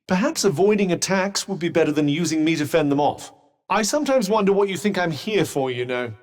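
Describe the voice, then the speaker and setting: An actor voicing a snooty, sardonic talking magical cuff in a video game, with a refined British accent and a dry, pompous manner. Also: male voice, a little high, lively intonation, brisk, natural voice. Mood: dry sarcasm. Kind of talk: game companion combat barks. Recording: long hall-like reverb.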